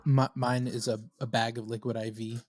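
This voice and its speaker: A man's voice: mid-range voice, level tone, normal speed, a little mellow, slight background noise. A man, a guest band member, telling rambling self-deprecating stories in a casual podcast chat.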